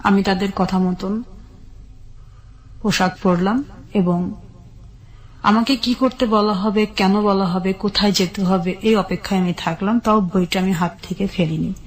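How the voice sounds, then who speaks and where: A woman with a Bangladeshi accent tells her personal story in an interview, measured and step by step in short phrases. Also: medium pitch, poor audio. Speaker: guest telling her life story.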